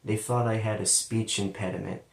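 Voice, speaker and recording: A man's voice: fairly low pitch, steady tone, normal speed, vocal fry. A man with a central Ohio accent, sometimes saying L as a W, telling his life story in a casual, rambling monologue. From London, Ohio, USA. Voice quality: sometimes turns L into a W sound. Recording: roomy, distant from the mic.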